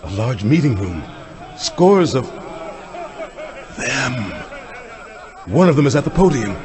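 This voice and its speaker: An actor playing an intense, vengeful doctor who hunts vampires, terse and melodramatic in an audio drama. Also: male voice, medium pitch, big pitch swings, slow. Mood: tense, hushed. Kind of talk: theatrical audio drama acting. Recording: loud music and crowd over voice. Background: loud music and crowd noise.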